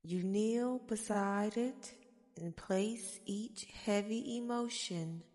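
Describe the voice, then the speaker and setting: A woman guiding a meditation in a soft-spoken ASMR style, with soothing, gentle, deliberate phrasing. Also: medium pitch. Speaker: guided meditation host. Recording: long reverberant echo.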